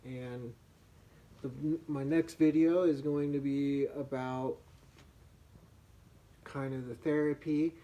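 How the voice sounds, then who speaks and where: Soft-voiced man with a dark, mellow tone. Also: medium pitch, fairly even intonation, slow, long pauses, muffled recording. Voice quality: soft voice from damaged vocal cords. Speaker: vlogger. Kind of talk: personal storytelling to camera.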